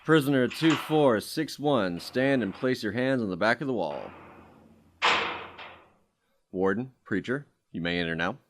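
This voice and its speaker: An actor playing a stern prison guard giving formal orders in a horror audio drama. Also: male voice, mid-range voice, animated, measured pace, long pauses, very noisy, natural voice. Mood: commanding. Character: stern male prison guard barking procedure.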